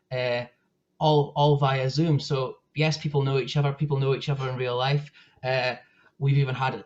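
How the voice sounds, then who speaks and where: A young man with a northeast Scottish accent giving a chatty presentation on a video call, full of fillers and restarts. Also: mid-range voice. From northeast Scotland. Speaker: guest speaker. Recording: dull lo-fi call audio.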